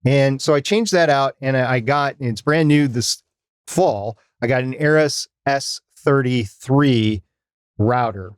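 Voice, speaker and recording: Guy podcast cohost, chatty and explainy, medium pitch, close dry recording. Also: measured pace, clean tone, dry recording. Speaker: podcast co-host. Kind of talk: casual podcast talk.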